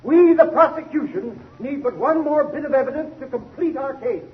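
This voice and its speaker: An actor playing a pompous, hard prosecutor, declaiming formally and accusingly in 1930s radio style. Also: male voice, very high voice, animated, normal speed. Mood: accusatory, oratorical. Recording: muffled 1930s radio recording.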